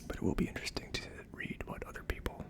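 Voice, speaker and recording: An ASMR host of unclear sex speaks entirely in a whisper. The delivery is casual and unscripted, like someone thinking aloud. It comes across as tentative and reflective. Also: quick pace. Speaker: host of a whiskey tasting series.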